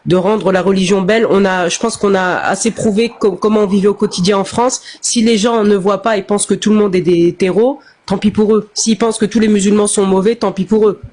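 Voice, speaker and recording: A woman's voice: medium pitch, steady tone, brisk, few pauses, darkish tone. A woman in an online live chat, speaking bluntly and often restarting her sentences. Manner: blunt, talks over others, frequent restarts. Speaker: live stream participant. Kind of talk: casual heated discussion.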